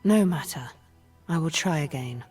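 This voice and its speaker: An actress playing a powerful, scheming sorceress in a video game, speaking in a measured, cryptic and imperious way. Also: female voice, low voice, expressive, darkish tone, husky, faint music behind. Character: powerful, scheming female sorceress, leader of a secret sisterhood. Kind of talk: video game character voice lines.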